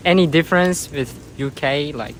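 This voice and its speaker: A man's voice: on the high side, moderate pace, a bit bright, slight background noise. A young man asking questions in a hesitant, informal way as a casual interviewer. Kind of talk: casual street-style interview.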